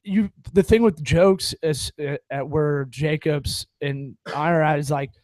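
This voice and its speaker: Podcast host, man, fairly high voice, loose rambling delivery, dry close sound. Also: steady tone, a little slow, a little mellow, no echo. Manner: rambling, swearing, many restarts. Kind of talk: casual chat.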